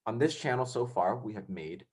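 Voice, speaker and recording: A man's voice: fairly low pitch, animated, a little fast. A young man hosting a casual podcast, rambling with many fillers and restarts. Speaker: podcast host.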